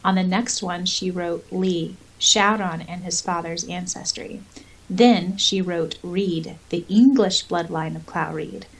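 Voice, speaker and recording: A woman's voice: on the low side, fairly even intonation, takes it slowly, breathy edge, rough recording. A woman co-hosting a podcast, discussing a story casually and reading passages from it aloud. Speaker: podcast co-host and audiobook narrator.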